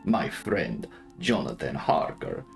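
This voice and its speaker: A man voicing a proud, scornful old aristocratic vampire count in a dramatic audiobook, speaking slowly and formally with heavy weight. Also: rumbling low, very animated, vocal fry, faint music behind, voice pushed down, heavily acted. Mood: courteous, grave. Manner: slow, weighty, formal old-fashioned phrasing. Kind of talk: dramatic audiobook character reading.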